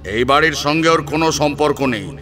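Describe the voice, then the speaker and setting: Unforgiving father, audio drama, played by a man: harsh, commanding, pitched up, music under. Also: fairly quick, sharp tone. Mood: cold anger. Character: stern, unforgiving father disowning his daughter. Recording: music behind the voice.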